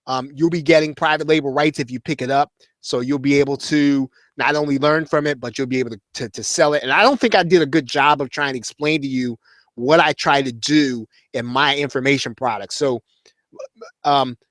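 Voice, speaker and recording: Halting, rambling man with a dry sound. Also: a little high, no echo. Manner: rambling, many restarts and fillers. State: losing his voice. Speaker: host of an online marketing show. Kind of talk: casual live-stream talk to viewers.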